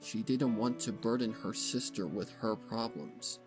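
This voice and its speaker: Female story narrator, extremely low register, dramatic scripted read, music bed. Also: a little slow. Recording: music behind the voice.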